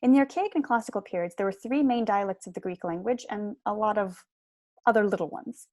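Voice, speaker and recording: Young female lecturer, explanatory and articulate, medium pitch, dry home recording. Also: fairly quick, a little mellow, dry recording. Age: young adult. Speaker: lecturer, PhD student. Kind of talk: prepared seminar lecture. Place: small room at home.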